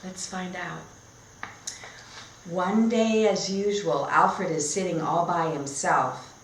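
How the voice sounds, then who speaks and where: A woman reads a children's story aloud as a teacher, at a slow and careful pace. She keeps her phrases short and simple and often turns to questions for her listeners. The room is echoey, with noticeable background noise. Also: lowish voice. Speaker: teacher demonstrating read-aloud techniques. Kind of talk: storybook read-aloud lesson for young learners.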